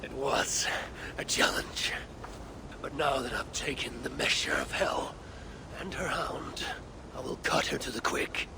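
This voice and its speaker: Gravelly, proud, obsessive warrior god. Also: male voice, mid-range voice, measured pace, in a whisper. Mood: breathless, grim. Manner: solemn, declamatory, stubborn. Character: proud, obsessive warrior god seeking his lost son. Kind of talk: dramatic video game cutscene acting. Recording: loud background noise of game ambience.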